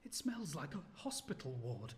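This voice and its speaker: A man playing a frightened young gentleman protecting his sweetheart in a radio horror drama, urgent, stammering and melodramatic. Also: fairly high pitch, sing-song, moderate pace, a little hiss or hum. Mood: hushed dread.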